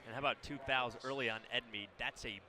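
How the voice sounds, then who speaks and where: A man's voice: medium pitch, steady tone, fast. Male color commentator in a noisy arena. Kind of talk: radio sports analysis. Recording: noisy arena with bouncing basketballs.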